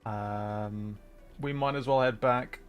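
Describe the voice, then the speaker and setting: A man hosting a gaming livestream, chatting casually and thinking out loud in a rambling way as he plays. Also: mid-range voice, fairly even intonation, slow pace. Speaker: livestream host. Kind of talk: casual gaming livestream chat while playing. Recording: music playing behind the voice. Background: music.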